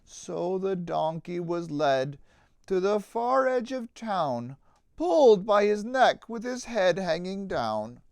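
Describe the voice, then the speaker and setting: A man, a pastor, reading a rhyming children's story aloud in a dramatic, deliberate, sing-song manner. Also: high-pitched, sing-song, gravelly, a little mellow, clear, resonant tone, no echo, clean studio sound.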